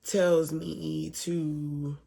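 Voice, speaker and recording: Hoarse young mom talking quiet at night, low gravelly voice, half whispering. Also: female voice, little pitch movement, drawn-out words. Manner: soft and quiet, partly whispered, late at night. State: hoarse, voice almost gone. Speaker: mom vlogger. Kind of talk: casual talk to camera. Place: home at night, lying down with her baby.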